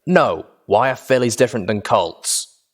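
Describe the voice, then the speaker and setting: A man's voice: medium pitch, animated, measured pace. Man playing a scowling, sarcastic pony gang lieutenant. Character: menacing male slate-grey pony gang lieutenant, sarcastic and impatient. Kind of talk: audiobook character dialogue.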